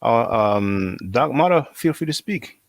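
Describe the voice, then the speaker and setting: A man moderating a panel call, friendly and halting as he invites speakers in. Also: mid-range voice, expressive, gravelly, no echo, clean studio sound.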